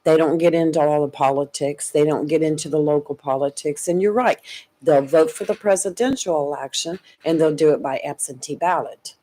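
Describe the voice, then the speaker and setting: A man's voice: a little high, a little fast, dark tone. A man co-hosting a radio talk show, chiming in with friendly affirmations and questions. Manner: frequent affirmations, friendly interjections. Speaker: radio co-host. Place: radio studio.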